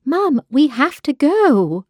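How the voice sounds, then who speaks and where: A woman's voice: on the high side, expressive, normal speed, a little mellow, dry recording, studio quality, voice pushed up, close to an everyday voice. A woman voicing an urgent young daughter begging her mother to flee. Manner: pleading, urgent.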